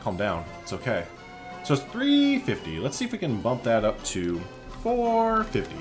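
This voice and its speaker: A man's voice: medium pitch, huge pitch swings, normal speed, music in the background. A man giving casual, chatty gameplay commentary as a let's play host, thinking aloud informally as he goes.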